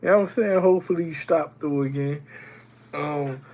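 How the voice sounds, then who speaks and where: A man's voice: fairly high pitch, moderate pace, a little mellow. A young man streaming live while getting over a cold, chatting loosely with viewers and greeting them by name. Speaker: livestreamer. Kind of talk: casual livestream chat with viewers.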